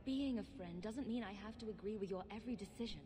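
A woman's voice: mid-range voice, a little fast, warm tone, faint music behind, close to an everyday voice. An actress playing a firm, principled adventurer woman in a video game, speaking directly and resolutely. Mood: questioning, firm. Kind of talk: dramatic video game acting.

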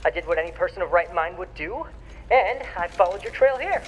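A man's voice: sits high, expressive, a little fast, a bit bright, a little hiss or hum, low-quality recording. A man acting in a video game as a pompous, pathetic rival, speaking in grandiose, theatrical, formal phrases. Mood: defiant, proud. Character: pompous, pathetic male rival craving honor.

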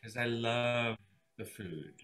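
A man hosting an online event, chatty and effusive, rambling warmly to guests and viewers over a video call. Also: mid-range voice, slow pace, a little hiss or hum. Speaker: event host. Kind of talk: enthusiastic live event hosting.

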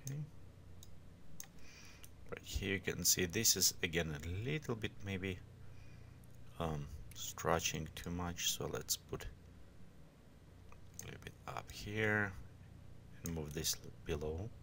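Male tutorial instructor, non-native English, creaky voice, unscripted and halting, recorded with background noise and keyboard clicks. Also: sits low, bright, forceful tone. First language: not English. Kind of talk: informal step-by-step screen tutorial.

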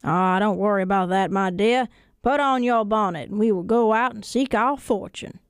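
A woman voicing an excitable, naive, kindly married man in a folktale, earnest and exclamatory. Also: medium pitch, normal speed, smooth, no echo, clean studio sound, lightly acted. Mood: reassuring.

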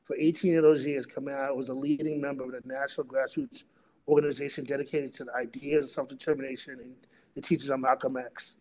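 A middle-aged man moderating a panel and introducing its topic and speakers, in a halting delivery that often repeats words. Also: mid-range voice, flat delivery, a little mellow, poor audio. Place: remote video call.

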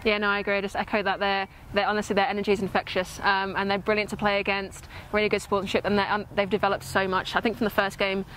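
A woman's voice: medium pitch, little pitch movement, quick pace, hardly any pauses, a bit bright. A woman in her twenties from England, a player answering post-match interview questions outdoors on a lapel mic. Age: 20s. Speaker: cricket player. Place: outdoor cricket field. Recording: faint outdoor ground noise.

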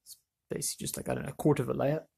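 A man presenting a hands-on how-to in a casual, offhand way, thinking aloud as he works, with a husky, creaky voice. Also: mid-range voice, animated, very fast, warm tone. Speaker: DIY video presenter.

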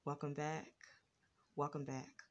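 A woman's voice: low-pitched, level tone, slow pace, lo-fi sound. A woman vlogger talking casually to her phone camera, stuttering and restarting her words. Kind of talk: casual reaction vlog. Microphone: phone front camera.

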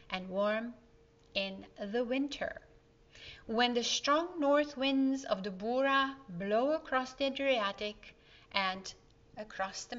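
A woman's voice: on the high side, fairly bright tone. A middle-aged woman reading a children's story aloud, expressively, with chatty questions to young listeners. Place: indoor living room. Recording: dull, slightly lo-fi sound.

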